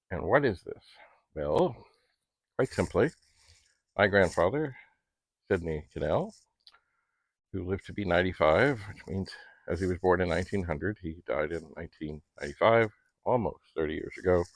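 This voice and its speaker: Deep-voiced middle-aged man, chatty. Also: no room sound, rough recording. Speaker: vlogger. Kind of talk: casual rambling vlog talk to camera. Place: basement at home.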